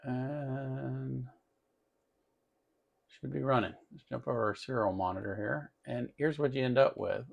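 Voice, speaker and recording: Male tutorial host, mid-range pitch, creaky fry, informal think-aloud delivery. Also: measured pace, pauses often. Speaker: host of an electronics tutorial channel. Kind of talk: casual hands-on tutorial demonstration.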